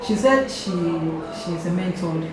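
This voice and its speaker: A woman's voice: lowish voice, expressive, slow, crowd noise. A woman asking casual interview questions as host, in a very echoey, noisy recording.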